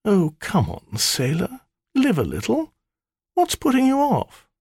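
A cheeky male spirit in the guise of a flirtatious maiden, as a man puts her on in a high falsetto-ish lilt. The voice swoops and dances through a lively range, coy and wheedling, with a dry close sound. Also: unhurried, breathy edge, dry recording, full character voice. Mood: teasing. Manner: coy, wheedling, mock-sweet. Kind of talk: audiobook character voice.

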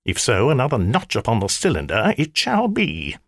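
A man's voice: mid-range voice, huge pitch swings, moderate pace, a bit bright, no room sound, studio quality, exaggerated voice. A man voicing an irritable old male magician, stern and sardonic, in a clipped, scolding manner for an audiobook. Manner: clipped, scolding, dryly sarcastic. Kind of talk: audiobook character voice.

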